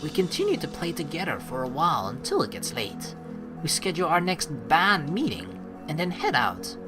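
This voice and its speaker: Lady lets play host, lowish voice, super lively and informal, bit of game music behind her. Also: moderate pace. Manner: animated, informal. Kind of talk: game commentary mixed with reading dialogue aloud.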